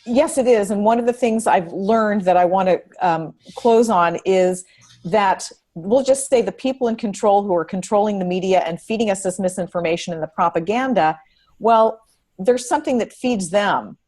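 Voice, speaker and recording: A woman speaking on a panel, explaining deliberately and using repetition for emphasis in unscripted talk. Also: mid-range voice, darkish tone.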